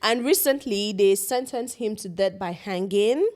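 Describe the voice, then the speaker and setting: A young woman from Nigeria, co-hosting a podcast in animated, casual chat. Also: mid-range voice, a little slow. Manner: animated, chatty. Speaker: podcast co-host.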